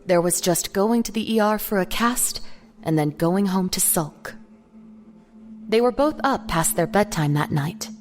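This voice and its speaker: A woman's voice: medium pitch, lively intonation, unhurried, a little mellow. A woman narrator reading a scripted story for an audio drama.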